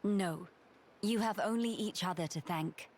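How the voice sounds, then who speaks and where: A woman playing a composed young crown princess in a video game, speaking with formal, measured dignity. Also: mid-range voice, darkish tone, slight background noise, natural voice. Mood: earnest, reserved. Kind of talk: video game cutscene acting.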